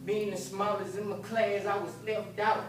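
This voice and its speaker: A man's voice: sits high, level tone, moderate pace, distant mic, noisy background. Young man rapping in an echoey hall. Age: young adult. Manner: rhythmic rapping with sung hook. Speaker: graduate performing a rap. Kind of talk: rap performance. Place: large hall at a graduation ceremony.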